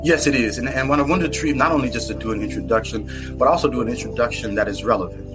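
An American man being interviewed about his book, speaking in an earnest, reflective and halting way. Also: medium pitch. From United States. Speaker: author guest. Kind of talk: podcast interview. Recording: music playing underneath.

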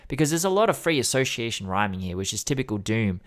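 A man's voice: mid-range voice, very animated, fast talker. A man co-hosting a music podcast, reviewing an album in an earnest, analytical and enthusiastic way. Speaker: podcast co-host.

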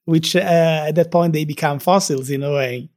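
A man from Naples with a Neapolitan Italian accent, hosting a relaxed interview in English and laughing easily. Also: fairly high pitch, moderate pace. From Naples, Italy. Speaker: podcast host. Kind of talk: conversational interview.

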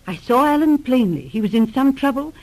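Earnest, highly expressive noblewoman. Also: a little fast, poor audio. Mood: anxious pleading. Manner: earnest, dramatic, insistent. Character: anxious, determined English noblewoman, mother of grown son. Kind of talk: 1940s radio drama acting.

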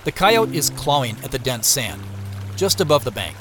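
A man narrating an audio drama as a reflective searcher, measured, descriptive and understated. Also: mid-range voice, sing-song, a bit bright, soft background music. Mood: observant, measured. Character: reflective first-person narrator describing his woodland search.

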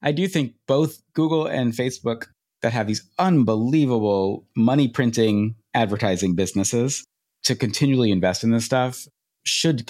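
A man co-hosting a podcast, chatting casually and giving animated opinions. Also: lowish voice. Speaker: podcast co-host. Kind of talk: podcast chat and interview.

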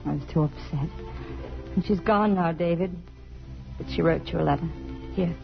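An actress playing an anguished, pleading woman pianist in love, with a husky voice, in 1950s radio drama style. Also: on the low side, normal speed. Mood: upset, subdued. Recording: muffled vintage radio broadcast, faint music.

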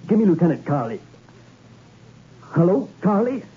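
An actor playing a dogged, jittery investigator in a 1960s radio thriller, with terse, probing, halting speech. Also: male voice, high-pitched, big pitch swings, slightly rough, exaggerated voice. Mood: shaken, urgent. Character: dogged, jittery hard-boiled male investigator named Logan. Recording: dull, hissy old radio recording.

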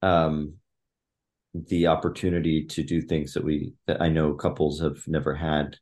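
A middle-aged man with a deep, low-pitched voice talks through his family life in a relaxed interview. He speaks reflectively and in fits and starts, full of fillers and restarts. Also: a little slow. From Thailand. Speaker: podcast guest. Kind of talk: conversational interview.

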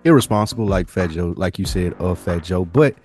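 Very low-voiced man hosting a podcast. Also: expressive, a little fast, a little mellow, soft background music. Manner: slangy, conversational, questioning. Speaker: podcast host. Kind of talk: casual podcast chat.

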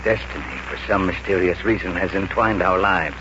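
A male actor plays a big, fatalistic stone carver with a deep voice. He speaks formally and portentously in 1940s radio style. The old broadcast sounds muffled, with strong hum and hiss. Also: fairly even intonation, moderate pace, close to an everyday voice. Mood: insistent, ominous. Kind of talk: 1940s radio drama acting.

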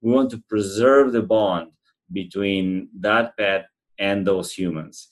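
A middle-aged man with an Argentine accent, answering interview questions in an earnest, halting, conversational way. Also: sits low. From central Argentina. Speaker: animal shelter representative being interviewed.

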